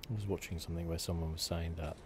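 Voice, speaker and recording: A man softly giving relaxed ASMR commentary as he plays a video game, musing as he goes. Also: sits low, rapid-fire. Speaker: gaming video host. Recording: clearly noisy, game ambience behind. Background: video game sound.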